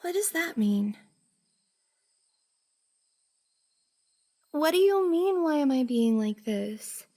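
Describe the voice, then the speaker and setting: A woman playing a shy, flustered young cosplayer in an intimate roleplay ASMR drama, hesitant and breathy, often sighing and dropping to a whisper. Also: sits high, big pitch swings, unhurried, dark tone. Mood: nervous, flustered.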